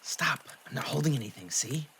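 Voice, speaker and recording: A man playing a gruff, defensive, hot-tempered young half-demon with a brusque manner in a fan audio drama. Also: medium pitch, animated, a little fast, sharp tone, slight background noise. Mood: irritated. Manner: brusque, irritable.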